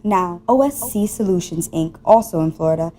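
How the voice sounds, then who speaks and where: A woman's voice: on the low side, animated, normal speed, mellow, a little hiss or hum. A young adult woman delivering scripted news narration as a student television reporter.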